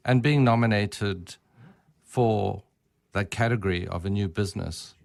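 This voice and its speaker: A man being interviewed on the radio, speaking formally with ornate, deliberate wording. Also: on the low side, gravelly, darkish tone, dry recording. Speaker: interview guest, winery business owner.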